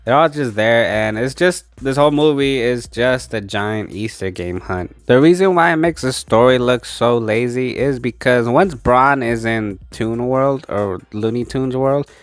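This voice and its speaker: A man's voice: measured pace, hardly any pauses, dry recording. A man hosting a movie review channel, sounding congested and tired as he recovers from losing his voice, speaking close into a microphone. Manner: low-energy, tired. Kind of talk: casual spoken review. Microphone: speaking close into a mic, voice-over.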